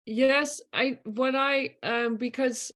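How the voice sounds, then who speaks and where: Woman, Dutch accent, student, halting, restarts, video call. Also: high-pitched, steady tone, a bit bright. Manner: searching for words, restarts. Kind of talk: sharing experience in online meeting.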